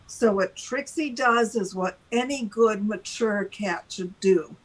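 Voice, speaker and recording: An older woman telling an anecdote casually, in a deliberate and emphatic manner, as a talk show host. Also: medium pitch, low-quality recording. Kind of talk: casual storytelling.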